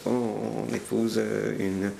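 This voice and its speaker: An older man recounting his family's history in a hesitant, halting way, with many fillers and restarts. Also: fairly low pitch, level tone, vocal fry, warm tone, slight background noise. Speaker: interviewee telling family history. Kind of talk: hesitant spoken recollection.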